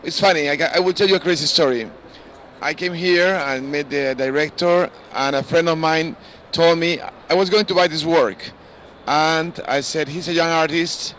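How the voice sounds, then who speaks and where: A man tells informal anecdotes as a collector. His voice sits a little high. Clear ambient noise from a busy hall surrounds him. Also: moderate pace, fairly bright tone. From Peru. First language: Spanish. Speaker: art collector. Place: large indoor art fair hall.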